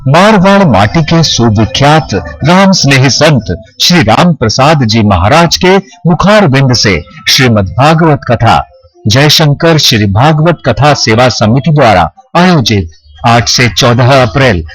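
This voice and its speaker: A man's voice: mid-range voice, breathy edge, rough recording. A man reading a scripted TV promo voice-over in a formal, declamatory way. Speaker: TV promo announcer. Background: loud music.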